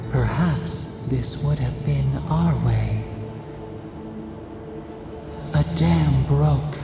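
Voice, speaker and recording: An actor voicing an ancient, eerie spirit that speaks in a cryptic, solemn, halting way in a video game. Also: medium pitch, creaky, heavily acted. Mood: sorrowful. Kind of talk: dramatic video game voice acting. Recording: echoing voice over music.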